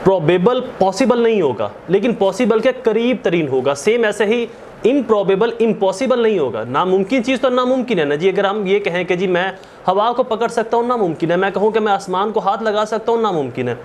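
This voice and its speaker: A man's voice: sits high, animated, brisk, slight background noise. A man with a Pakistani accent teaching as a law lecturer, explaining in a didactic way, repeating and rephrasing key terms and mixing in English.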